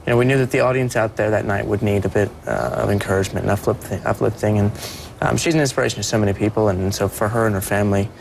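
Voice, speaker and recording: A young man speaking as a performer guest on a TV interview, soft-voiced and earnest, often restarting his sentences. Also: on the low side, fairly even intonation, rapid-fire, creaky, a little hiss or hum, rough recording. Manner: earnest, with many restarts and repeated words. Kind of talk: TV morning show interview.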